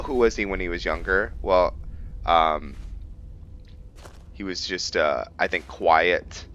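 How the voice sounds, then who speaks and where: A man doing casual commentary as he plays a roleplaying game, musing aloud in a halting way about his character. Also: deep voice, sharp tone, slight background noise. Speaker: gamer hosting a roleplay playthrough.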